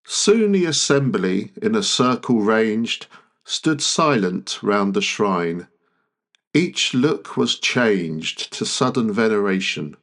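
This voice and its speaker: A man reading classic verse aloud in a measured, deliberate way, pausing often between phrases. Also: lowish voice, animated, a bit bright. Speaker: poetry reader. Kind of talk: literary poetry recitation.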